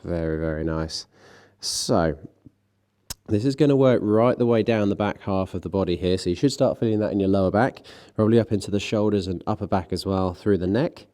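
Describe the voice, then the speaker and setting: Low, mellow male fitness coach with a blocked nose; calm, steady stretch cues, a dry joke now and then. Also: fairly quick. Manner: calm coaching with light self-mocking asides. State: congested from a cold, a bit nasal. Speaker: fitness instructor. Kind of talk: guided stretching class.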